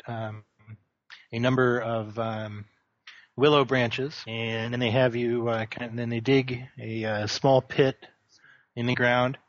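Halting male game master on a call. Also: mid-range voice, dry recording. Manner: halting, many ums and uhs. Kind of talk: narrating a tabletop game over a voice call. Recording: dry, dull lo-fi call audio.

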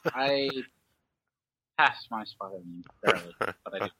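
A man's voice: lowish voice, expressive, unhurried, background noise. A man playing a tabletop game, joking in a wry, dry way. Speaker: player. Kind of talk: casual tabletop play.

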